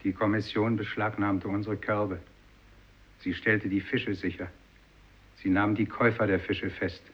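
Sober fisherman giving testimony, low voice, radio play, dull lo-fi sound. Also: level tone, measured pace, slightly rough. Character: sober fisherman reporting ordeal of quarantine. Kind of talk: 1950s literary radio play acting.

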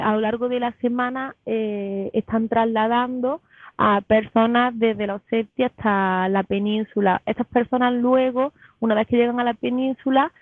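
A woman's voice: fairly high pitch, dry recording. A woman answering as an interview guest over a call. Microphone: phone or online call. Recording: tinny narrow phone-like line.

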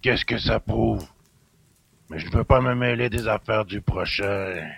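A man voicing a surly, grumbling middle-aged country innkeeper with rustic speech and a gravelly growl. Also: lowish voice, measured pace, fairly bright tone, pitched below the performer's own voice, heavily acted. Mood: guarded, grumbling.

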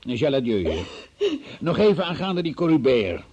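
An actor playing a forceful police investigator in a radio drama, formal, probing and theatrical in his delivery, with a husky voice. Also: mid-range voice, very animated, normal speed, a crowd in the background, close to an everyday voice. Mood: brisk, businesslike. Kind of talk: Dutch radio drama acting.

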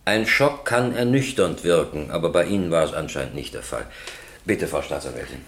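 An actor playing a stern, sceptical presiding judge in a radio play, formal and probing with dry irony. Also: male voice, very deep voice, animated, a little fast.

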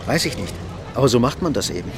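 A man's voice: mid-range voice, big pitch swings, quick pace, noisy background, lightly acted. An actor playing an unsure, smitten middle-aged man, hesitant and brooding, in a radio drama. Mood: hesitant, curious. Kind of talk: modern German radio drama acting.

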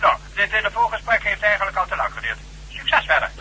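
Bright, high-voiced self-assured dignitary. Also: male voice, very animated, quick pace, breathy edge, exaggerated voice, rough recording. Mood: assured, discreet. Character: self-assured male government dignitary arranging covert help. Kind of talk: Dutch radio drama acting.

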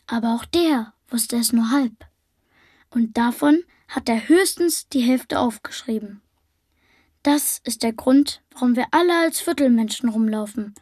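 Radio play: earnest young female manifesto reader, high and breathy, matter-of-fact with defiance. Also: measured pace, a little mellow, no room sound, clean studio sound. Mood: earnest, defiant.

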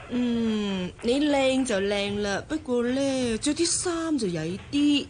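A vain young office secretary in a radio play, high-pitched and gushing. She chatters in a Hong Kong accent like a born gossip, dropping in English words. Music plays behind her. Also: expressive, slow pace, darkish tone. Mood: breezy, gushing. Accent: Hong Kong Cantonese. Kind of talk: radio drama acting.

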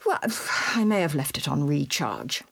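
A man's voice: fairly high pitch, big pitch swings, moderate pace, gravelly, husky, full character voice. A reader voicing an eccentric male alien adventurer, indignant and exasperated, in a dramatised audiobook. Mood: indignant, blustering.

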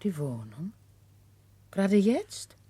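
A practical, plain-spoken old housekeeper in a vintage German radio play. Her voice is rough-edged and husky, with lively swings in pitch, close and dry. She sounds motherly and matter-of-fact. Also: slow, no echo, full character voice. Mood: surprised. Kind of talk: 1960s German radio drama acting.

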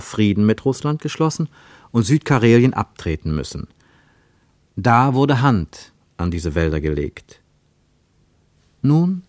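A man narrating a literary radio play in a measured, deliberate storytelling way. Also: mid-range voice, darkish tone, no room sound.

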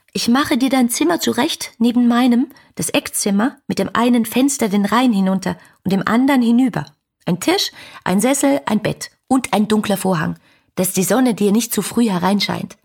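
A woman's voice: on the high side, vocal fry, husky, full character voice. An actress playing an ardent, playful female letter writer in a literary radio play, speaking effusively and expressively with a teasing edge. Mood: tender longing.

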